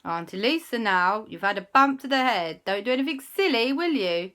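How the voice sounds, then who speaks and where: A woman's voice: a little high, lively intonation, normal speed, pushed and bright, slight background noise, voice pushed up. Woman doing bossy sensible kids. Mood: chiding. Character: sensible group of children, scolding.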